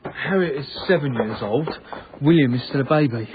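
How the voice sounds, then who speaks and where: A man acting in a radio drama as a courteous, bewildered gentleman from a past era, speaking in a formal, polite, wondering way. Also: mid-range voice, moderate pace, natural voice. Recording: tinny lo-fi radio broadcast, noisy.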